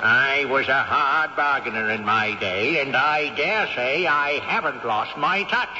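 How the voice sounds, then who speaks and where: A man's voice: medium pitch, unhurried, sharp tone. An actor in a vintage radio drama playing an indignant, shrewd old great-grandfather ghost, with haughty, theatrical, formal diction. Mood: indignant, scheming. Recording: dull, noisy old radio, echoey hall.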